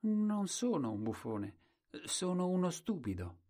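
A man's voice: high voice, sing-song, measured pace, voice pushed up, exaggerated voice. A man voicing a humble, simple-minded young man at a royal court, speaking meekly and crying out eagerly. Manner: soft, humble, wide-eyed replies, cries out eagerly.

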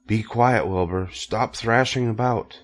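Man voicing a soft, weary female spider. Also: lowish voice, unhurried, voice pushed up, lightly acted. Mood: calm, firm. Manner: soft, weary, matter-of-fact. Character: Charlotte, dying female spider, calm, sensible, gently firm.

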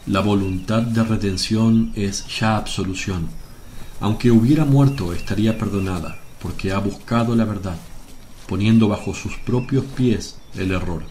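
Casting: male actor as a gentle, solemn holy teacher for devotional radio drama, low measured voice, noisy ambient track. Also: natural voice. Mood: serene, assured.

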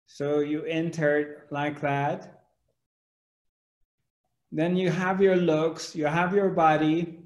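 A man in his forties speaks slowly to a webcam in a room at home, asking question after question with broad hand gestures. His voice sits a little high. The room adds a noticeable echo. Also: steady tone, a little mellow. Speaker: spiritual teacher. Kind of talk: spiritual discourse to camera. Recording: echoey room.

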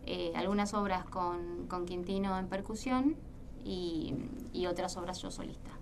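A woman's voice: on the low side, steady tone, unhurried, vocal fry. A woman from Salta with an Argentine accent, a guest guitarist chatting casually in a radio interview, hesitant and full of fillers. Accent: Argentine Spanish. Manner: hesitant, many fillers and restarts. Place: radio studio. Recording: distant mic, faint room noise.

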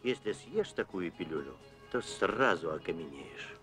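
An actor playing an elderly grandfatherly man in a cartoon, talking to a child in an expressive, mock-serious way. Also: low-pitched, unhurried, heavily acted. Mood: cautioning. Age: older. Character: elderly grandfatherly man gently warning a small boy. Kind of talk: animated film dialogue. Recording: loud music under the voice.

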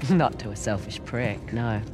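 An actor, a woman, playing a sardonic, quick-witted, defiant treasure hunter in a cinematic video game. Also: very deep voice. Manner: sardonic, irreverent. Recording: loud music behind the voice.

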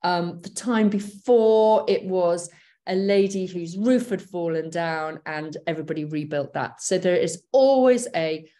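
A woman's voice: lowish voice, mellow. A middle-aged woman giving an online pre-departure briefing over a video call, chatty and enthusiastic, with plenty of fillers and restarts. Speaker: gap year program briefer. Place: home office, indoors.

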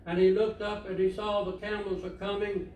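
Older preacher, echoing far off. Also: male voice, high-pitched, level tone, moderate pace, darkish tone. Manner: plainspoken storytelling, conversational. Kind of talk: sermon. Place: church sanctuary, indoors, standing at a pulpit. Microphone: far camera. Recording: echoey church from far off, faint hiss.

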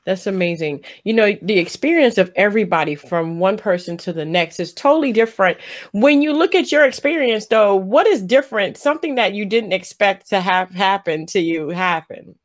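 A middle-aged woman hosts a video-call interview from her home. She affirms what her guest says and asks gentle, open questions at an ordinary middle pitch. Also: lively intonation, normal speed. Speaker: interview host. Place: home room indoors. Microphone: webcam on a video call.

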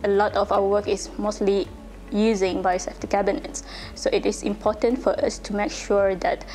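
A woman's voice: medium pitch, little pitch movement, moderate pace, a little mellow, faint music behind. A young woman from Brunei with a Bruneian accent, giving hesitant interview answers. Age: young adult. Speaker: interviewee, trainee. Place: indoor office room.

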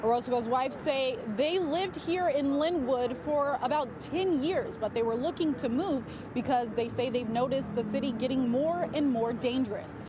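A woman news reporter delivering a measured report, partly live from outdoors with a handheld mic. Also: sits high, noisy background. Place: outdoors at a gas station.

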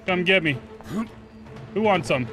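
A man's voice: sits high, lively intonation, measured pace. A man giving casual, slangy gameplay commentary, swearing and talking back to the game's characters. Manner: profane, slangy, talks to game characters. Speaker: gaming YouTuber. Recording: game music behind the voice. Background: video game music.

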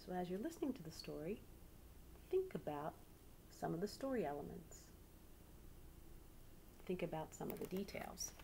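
Lower-pitched woman reading aloud. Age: middle-aged. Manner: expressive reading, pausing to prompt listeners. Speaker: teacher reading a picture book aloud to pupils. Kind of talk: read-aloud storytelling with questions to children. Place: small living room indoors. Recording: clearly noisy home webcam recording.

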